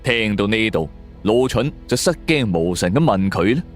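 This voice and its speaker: Male storyteller, lowish pitch, lively swings in intonation, colloquial suspense narration. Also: normal speed, faint music behind. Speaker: audiobook narrator. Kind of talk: dramatic suspense story narration in first person.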